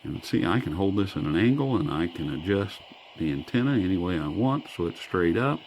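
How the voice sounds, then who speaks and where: Low-voiced, folksy American man reviewing gear. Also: lively intonation, fairly quick, a little mellow, rough recording. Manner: enthusiastic, folksy. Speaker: host, product reviewer. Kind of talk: casual hands-on review talk. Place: indoors. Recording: faint background noise, indoor room.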